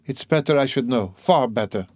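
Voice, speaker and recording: A man's voice: medium pitch, lively intonation, normal speed, a little mellow, no room sound, clean studio sound, voice pushed up, close to an everyday voice. A man voicing a distraught, timid, tender-hearted young woman in a dramatic audiobook reading, urgently questioning and pleading.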